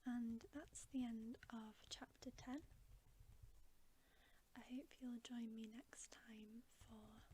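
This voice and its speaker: A woman reading a bedtime story as ASMR in a soft, breathy whisper, gentle and hushed. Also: fairly high pitch, steady tone, slow, a little hiss or hum, poor audio. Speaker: ASMR reader.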